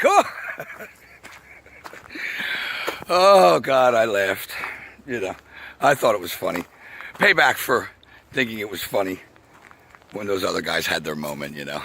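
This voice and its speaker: Raspy-voiced man rambling outdoors. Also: on the low side, sharp tone, slight background noise. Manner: rambling, laughing often, swearing. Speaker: vlogger. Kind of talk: casual outdoor video monologue. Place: outdoors by a path.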